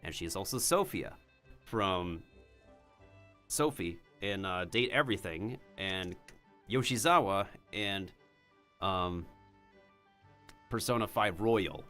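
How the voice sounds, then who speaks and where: A man's voice: deep voice, expressive, takes it slowly, pauses often, bright, forceful tone, faint music behind. A man streaming a game, chatting and rambling with giggles, whose first language is Mandarin Chinese. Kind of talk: casual game-stream chat.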